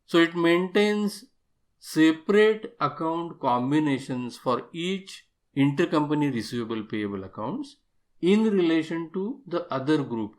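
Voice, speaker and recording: Male instructor, somewhat high-pitched, methodical explanatory tutorial read with emphasis on key terms. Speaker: accounting software instructor.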